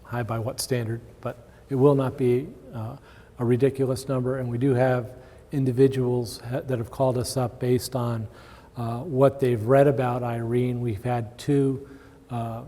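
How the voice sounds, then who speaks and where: Mellow-voiced male presenter in a reverberant hall. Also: mid-range voice, flat delivery, measured pace. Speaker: conference presenter. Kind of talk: informal conference talk with audience questions. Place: large indoor conference room with long reverb.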